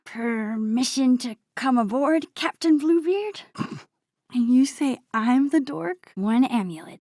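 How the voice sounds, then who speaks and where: A woman voicing a sweet, shy, nerdy teenage girl in a video game, with a soft, often breathy voice and a gentle, teasing, earnest manner. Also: sits high, animated, slow, no echo, studio quality, exaggerated voice. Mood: playful.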